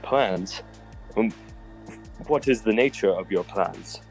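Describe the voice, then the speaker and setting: A man's voice: fairly low pitch, takes it slowly. A man playing a curious human scientist and engineer in a comic dub, formal and polite with a hesitant manner. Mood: cautious, curious. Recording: voice under background music.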